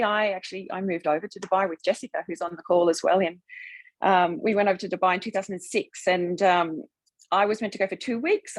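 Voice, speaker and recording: A woman's voice: mid-range voice, dry recording. A woman speaking as a webinar panelist over a video call, earnest and hesitant, with frequent ums. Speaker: panelist, sales executive. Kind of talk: conversational webinar answer.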